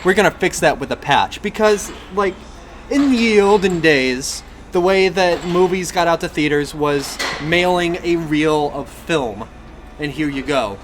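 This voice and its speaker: A man's voice: fairly high pitch, unhurried, background noise. A man co-hosting a casual games and movies podcast, explaining things in a wry, rambling way. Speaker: podcast co-host.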